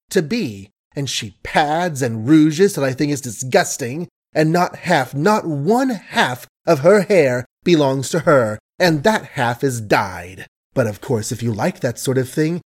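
A man's voice: fairly high pitch, lightly acted. A man performs a young woman from a storybook in a wrathful temper. The voice lifts and swings as the scorn pours out, emphatic and repetitive, close to tears. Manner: emphatic, repetitive, ranting and mocking. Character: furious, scornful, tearful young woman berating her suitor.

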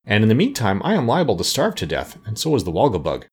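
A man's voice: lowish voice, wildly swooping pitch, fast talker, slightly rough, heavily acted. A man voicing a plain-spoken, practical young boy in a children's storybook, blunt and colloquial. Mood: complaining.

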